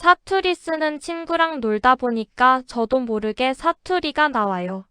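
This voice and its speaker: A woman reading example sentences slowly and carefully for a shadowing lesson. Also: high-pitched, smooth, no room sound, clean studio sound. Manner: careful, even, deliberate delivery. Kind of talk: slow, clear model-sentence reading for shadowing.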